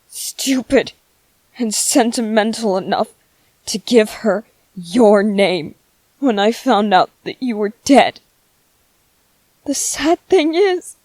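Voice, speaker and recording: A woman voicing a grieving young widow and mother in a dramatic monologue, slow and heavy with sorrow. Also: high voice, a little mellow, dry recording, heavily acted. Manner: slow, heavy with grief, at times tearful.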